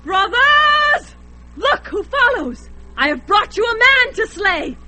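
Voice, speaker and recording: A woman's voice: extremely high pitch, sing-song, takes it slowly, sharp tone, full character voice. A woman voicing a taunting, mocking daughter of frost giants in a radio drama, with faint music behind her. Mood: commanding. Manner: mocking, commanding.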